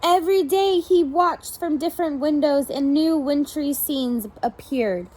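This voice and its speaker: A woman reads a children's picture book in a high voice. She takes it slowly, like a preschool teacher, with animated storytelling and small asides for the young listeners. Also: poor audio. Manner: pauses to comment and question the children. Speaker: preschool teacher reading aloud.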